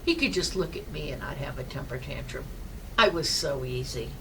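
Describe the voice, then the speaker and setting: Older woman chaplain, deep and forceful, projects well; distant mic, light background noise. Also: expressive, moderate pace. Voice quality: low-pitched, projecting voice. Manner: loud and forceful, rambling personal stories. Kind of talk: devotional talk.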